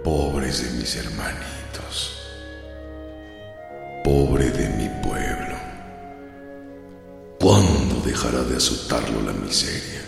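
Cavernous, very deep mournful man. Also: animated, heavily acted. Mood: sorrowful. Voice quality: deep, gravelly. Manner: solemn, drawn-out. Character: grave, sorrowful man lamenting his suffering people. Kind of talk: radio drama acting. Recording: cavernous echo and reverb over music.

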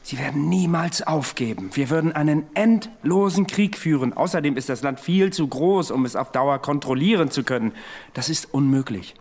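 An actor playing a world-weary, sceptical military adviser, speaking in a blunt, sober way in a radio drama. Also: male voice, on the high side, normal speed, a little mellow. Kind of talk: radio drama acting and narration.

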